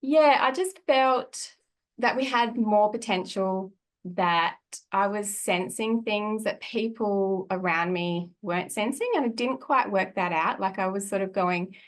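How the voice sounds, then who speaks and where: A woman's voice: medium pitch, a little slow. Reflective Australian woman in her forties. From Australia (country New South Wales). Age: 40s. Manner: reflective, laughs often, many fillers. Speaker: guest, energy healer. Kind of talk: casual conversational storytelling.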